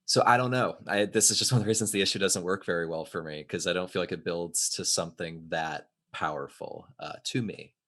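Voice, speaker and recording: A man's voice: low-pitched, fairly quick, hardly any pauses, fairly bright tone. A man on a podcast panel, hedging and unsure, with many likes and kind-ofs. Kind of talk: casual discussion.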